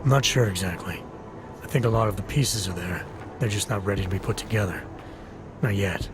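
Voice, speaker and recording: An actor playing a serious, guarded and quietly stubborn investigator in a video game, speaking in terse, deliberate lines. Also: male voice, on the low side, music in the background, lightly acted. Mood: guarded, sober. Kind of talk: video game cutscene acting.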